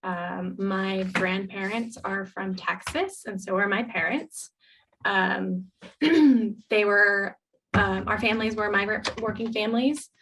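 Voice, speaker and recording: A woman co-host in her thirties with a bright, forward voice, chatting openly. She talks in loose, casual sentences full of small pauses and restarts. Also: medium pitch, fairly even intonation, husky, poor audio. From United States. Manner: candid, many ums and restarts. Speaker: podcast co-host. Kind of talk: casual chat.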